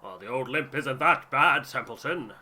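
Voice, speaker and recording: A man's voice: mid-range voice, expressive, normal speed, sharp tone. A man voicing an eager, proud polar expedition crewman with a hearty, formal manner, in a story game. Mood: proud, resigned.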